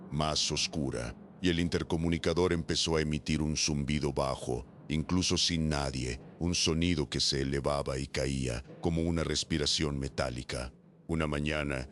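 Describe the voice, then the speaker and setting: A man reading a first-person horror story as scripted narration, with slow, dramatic pauses. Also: extremely low pitch, a bit bright, slight background noise.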